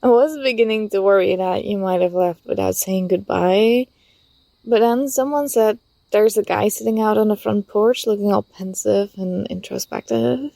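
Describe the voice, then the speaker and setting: Woman playing teasing young female friend, soft ASMR roleplay, gentle, coaxing, mellow tone, close dry sound. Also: medium pitch, moderate pace, gravelly, no echo, lightly acted. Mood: playful, relieved.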